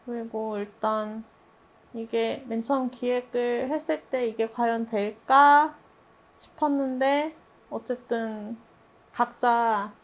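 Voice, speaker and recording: A woman's voice: on the high side, steady tone, takes it slowly. A woman speaking as a production director in a reflective group discussion, thoughtful and hesitant, often laughing a little as she talks.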